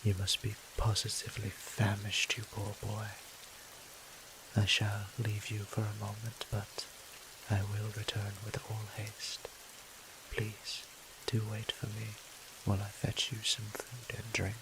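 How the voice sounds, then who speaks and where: A man playing a gentle, doting vampire priest and healer in a roleplay audio drama, speaking softly in formal, old-fashioned, courteous phrasing. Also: fairly low pitch, monotone, a little slow, noisy background. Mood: caring, apologetic. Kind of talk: scripted roleplay audio drama, addressing the listener.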